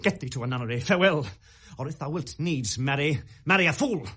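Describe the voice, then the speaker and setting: An actor with a British accent playing a bitter, volatile young prince in classical Shakespearean radio drama, in theatrical, deliberate verse. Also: male voice, on the high side, lively intonation, fairly bright tone, husky. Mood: scornful, vehement. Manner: theatrical, deliberate verse delivery swinging to outbursts.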